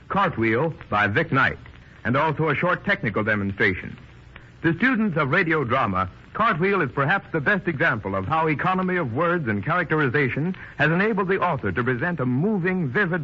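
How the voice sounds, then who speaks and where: A man's voice: on the high side, breathy edge, dull audio. A man presenting on 1930s radio as announcer and host, with a formal showman's delivery, on an old band-limited recording.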